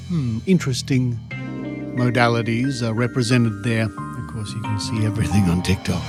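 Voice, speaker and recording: A man with an Australian accent hosting a podcast in a conversational monologue, wry and musing, with deliberate pauses for emphasis. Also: mid-range voice. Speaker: podcast host.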